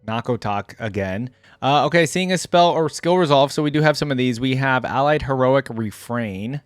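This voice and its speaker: A man's voice: mid-range voice, moderate pace, no echo. Dry, close-miked man reacting casually. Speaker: reaction video host. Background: faint music.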